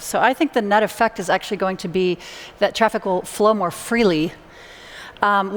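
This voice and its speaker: A woman explaining her view as a panelist, speaking conversationally in an echoey hall. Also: medium pitch. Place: large hall, panel stage. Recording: echoey hall with long reverb.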